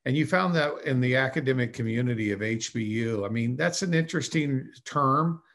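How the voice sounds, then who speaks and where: A man's voice: mid-range voice, fairly quick, fairly bright tone. A male podcast host answers his guest in a thoughtful, affirming way. He asks follow-up questions, with an occasional filler between thoughts. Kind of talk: interview.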